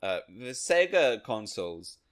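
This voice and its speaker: A man's voice: mid-range voice, big pitch swings, measured pace. A man co-hosting a podcast, talking casually about retro video games. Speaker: podcast co-host.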